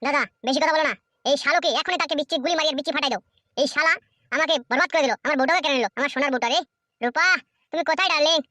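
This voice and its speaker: A woman voicing a whiny, crude, hot-headed male henchman in a comic cartoon, with a squeaky, wheedling voice. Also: bright, forceful tone, dry recording. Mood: whining, aggrieved. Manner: wheedling, complaining, fast-talking.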